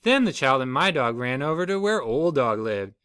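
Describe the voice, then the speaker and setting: Man, storybook read-aloud, lively pitch swings, bright, close dry sound. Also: moderate pace, clear, resonant tone, dry recording.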